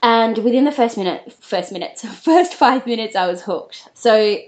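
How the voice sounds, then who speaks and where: A woman co-hosting a podcast, telling about shows in an informal, slightly halting way. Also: on the high side, animated, a little mellow. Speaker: podcast co-host. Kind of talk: casual chat, recounting a TV show.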